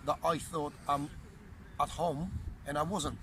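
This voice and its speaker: A Russian man speaking English with a Russian accent, a boxing promoter being interviewed outdoors, blunt and animated, often repeating himself. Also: fairly high pitch, a little slow. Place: outdoors, windy. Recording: outdoor wind noise.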